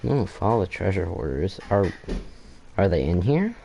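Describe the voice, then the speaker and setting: A man's voice: deep voice, very animated, normal speed, gravelly, background noise. A man giving casual commentary while playing through a game's story quest, thinking aloud and often trailing off and restarting his sentences. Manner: laid-back, reacts with short exclamations. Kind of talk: casual let's-play commentary.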